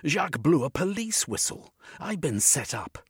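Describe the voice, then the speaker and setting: A man voicing an urgent young male hero in a storybook reading, talking quickly and breathlessly as he tries to warn and escape. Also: mid-range voice, big pitch swings, husky, no room sound, clean studio sound, pitched above the performer's own voice.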